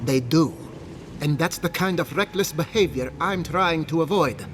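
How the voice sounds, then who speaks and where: An actor voicing a stern, officious governor in a video game, with formal, disapproving speech. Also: male voice, a little high, moderate pace, fairly bright tone, slight background noise, natural voice. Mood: dismissive. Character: stern, officious male governor, guarded and authoritative.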